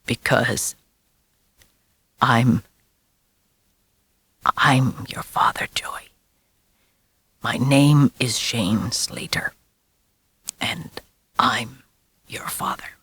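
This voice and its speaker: A menacing werewolf father from a horror audiobook, acted by a woman. The voice sinks low into a breathy whisper. Words come slowly and relentlessly, heavy with secrecy. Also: a bit bright, no room sound, exaggerated voice. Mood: intense, secretive. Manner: slow, relentless, ominous.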